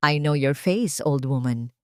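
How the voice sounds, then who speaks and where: A woman's voice: extremely low pitch, measured pace, dry recording. Villainess for audio drama: spoiled young socialite voiced by a woman storyteller, venomous, condescending, very dry sound. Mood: menacing. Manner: sneering, condescending, menacing. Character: cruel haughty young rich woman, venomous bully. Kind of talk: dramatic Tagalog storytelling dialogue.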